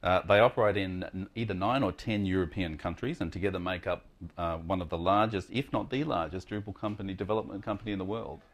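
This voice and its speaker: A man with a fairly low voice hosts a conference session. He introduces the speaker informally, in a chatty, jokey way with some hesitation. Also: fast talker.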